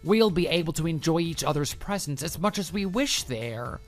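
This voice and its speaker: A man's voice: fairly high pitch, animated, moderate pace, soft background music, lightly acted. An actor playing a deluded, pushy male office coworker in love, making florid, earnest declarations in a scripted online drama. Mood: smug adoration.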